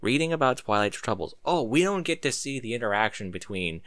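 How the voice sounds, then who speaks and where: A man reading fan fiction aloud as narrator, in an expressive storybook style with a sardonic edge. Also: medium pitch, brisk, no room sound. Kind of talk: dramatic storybook narration with casual sarcastic asides.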